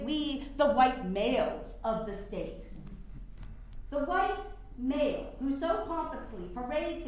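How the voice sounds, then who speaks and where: A distant, very echoey stage reading. An actress plays an eloquent, sardonic campaigner for women's rights, high-voiced, formal and rhetorical, with lively swings in pitch. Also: female voice. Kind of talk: staged play reading, oratorical. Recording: echoey room, distant mic, faint noise.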